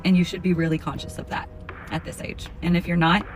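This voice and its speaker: A woman's voice: fairly low pitch, flat delivery, fast, soft background music. A woman in her thirties giving her frank, firm opinion on dating. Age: 30s. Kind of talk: opinionated vlog monologue.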